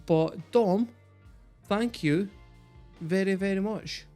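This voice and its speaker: A man's voice: high voice, slow pace, dark tone. A Scottish man hosting a music reaction video, chatting casually and swearing freely. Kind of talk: casual chat to camera.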